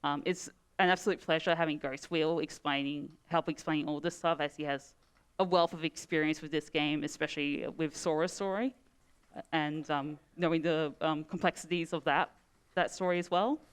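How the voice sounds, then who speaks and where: Woman, Australian accent, lowish voice, halting, many fillers, casual chat. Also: fairly even intonation, smooth. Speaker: speedrunner. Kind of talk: casual post-run chat.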